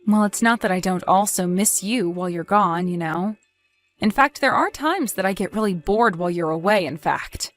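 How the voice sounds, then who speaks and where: A woman acts out an evasive, cheerful wife in a story drama. She speaks at a middle pitch with polite, neatly phrased reassurance, and music plays faintly behind her. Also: moderate pace, natural voice. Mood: defensive. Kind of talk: scripted dialogue in an audio drama story.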